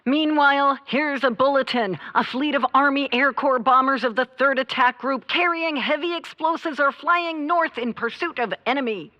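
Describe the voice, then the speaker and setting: A woman playing a formal, measured news announcer in a mock-serious retro radio drama. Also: sits high, dry recording, natural voice. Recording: dull, dry lo-fi sound.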